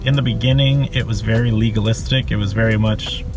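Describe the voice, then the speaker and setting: Young man from Costa Rica, lowish voice, relaxed chatty storytelling, music underneath. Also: fairly quick. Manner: relaxed, with fillers and soft laughs. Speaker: interviewee. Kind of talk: casual personal storytelling. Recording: interview with background music.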